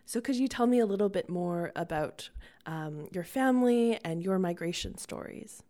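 A woman's voice: medium pitch, animated, normal speed, mellow. A woman interviewer asking a guest a question in a polite, slightly hesitant way.

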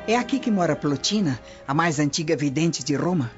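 A woman's voice: deep voice, lively intonation, fairly quick, soft background music. Imperious scheming noblewoman, dull audio. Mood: commanding. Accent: Brazilian. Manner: imperious, theatrical. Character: scheming, haughty Roman noblewoman, disdainful and vengeful. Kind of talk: radio drama acting. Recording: dull lo-fi radio drama audio.

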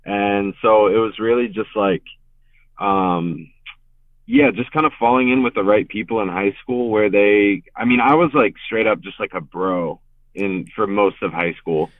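A young man from Richmond, Virginia, chats loosely and rambles, piling on filler words. His low voice comes through a tinny, phone-like remote line. The sound is dry and close. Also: lively intonation, measured pace, no echo. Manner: laid-back, rambling, heavy on filler words. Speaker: guest musician. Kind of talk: casual podcast interview.

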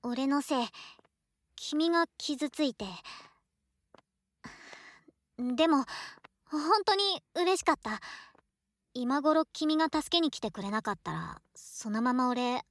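A woman playing a shy, affectionate young student, a boy turned into a girl, talking softly to a close friend in a voice drama. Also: high-pitched, lively intonation, measured pace, no room sound. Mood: grateful, shaken. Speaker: voice actor in a situation voice drama. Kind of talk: Japanese situation voice drama acting, intimate one-sided dialogue.

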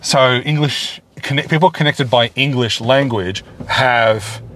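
A man's voice: mid-range voice, slow pace. An Australian man, middle-aged, talking casually and digressively while driving, often correcting himself and swearing mildly. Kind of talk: casual rambling monologue. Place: inside a car while driving. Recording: loud car and traffic noise. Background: road and engine noise.

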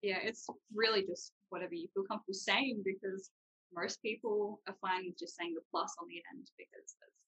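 A woman's voice: medium pitch, steady tone, fairly quick, fairly bright tone, breathy edge, low-quality recording. A young adult woman, explaining things informally and reassuringly as a guest in a casual chat. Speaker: guest, university student.

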